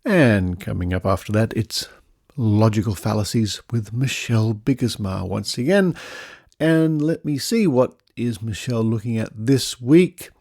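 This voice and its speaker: A man's voice: lowish voice, unhurried, a little mellow, no room sound. Playful Australian man, dry close sound. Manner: playful, theatrical. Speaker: podcast host. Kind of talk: chatty podcast introduction.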